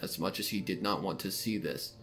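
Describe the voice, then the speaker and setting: A man reading scripted third-person fan fiction as the narrator of a dark mystery story. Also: sits low, brisk, vocal fry, faint music behind.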